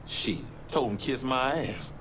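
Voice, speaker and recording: A man's voice: mid-range voice, animated, measured pace, fairly bright tone. A young boxer with a loose, streetwise way of talking. His delivery is laid-back and slangy. Faint ambient noise sits in the background. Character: streetwise young male boxer under pressure.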